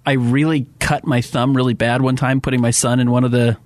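A man's voice: mid-range voice, little pitch movement, fairly quick, a little mellow, no room sound. A man co-hosting a sports radio show, chatting casually and laughing as he tells stories. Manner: chatty, laughs while telling stories, teasing. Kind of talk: casual sports talk banter. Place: radio studio. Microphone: studio broadcast mic.

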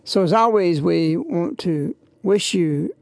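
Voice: medium pitch, lively intonation, slow, creaky, no echo. A radio host, talking informally and reverently in a rambling devotional introduction to a broadcast.

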